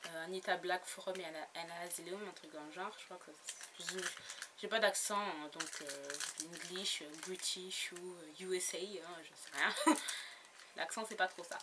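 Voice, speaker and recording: A woman's voice: lowish voice, moderate pace. A woman chatting casually about her latest book haul, giggly and full of fillers and restarts. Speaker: book blogger. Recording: noisy home recording.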